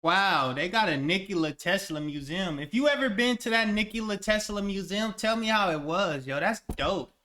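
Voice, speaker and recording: A young man casually reacting to a video in a laid-back, slangy way. His voice is high and bright. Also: moderate pace, few pauses.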